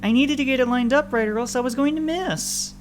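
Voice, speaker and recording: Shrill, screaming, defiant animal boy. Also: a little fast, faint music behind. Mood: defensive. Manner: foul-mouthed, yelling, voice cracking.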